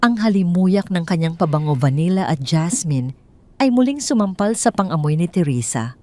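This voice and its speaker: A woman's voice: lowish voice, animated, normal speed, dark tone. A woman storyteller reading a scripted dramatic narration.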